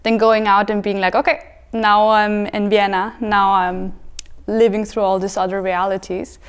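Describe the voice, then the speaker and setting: A woman's voice: mid-range voice, little pitch movement, moderate pace, smooth. A woman from Austria, a guest on a panel talk, chatting in an upbeat, storytelling way. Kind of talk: casual conversation.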